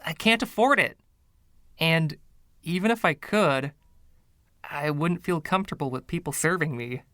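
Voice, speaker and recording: A man's voice: fairly high pitch, a little slow, sharp tone, no echo, clean studio sound, pitched above the performer's own voice. A man voicing a mild, defensive music teacher in an audio story, plain-spoken and earnest.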